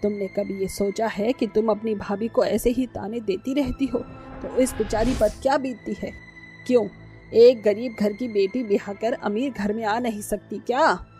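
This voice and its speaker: A woman's voice: medium pitch, steady tone, normal speed, dark tone, soft background music, lightly acted. A woman voicing an older, fair-minded mother-in-law in a cartoon drama, firm, emphatic and caring. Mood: stern.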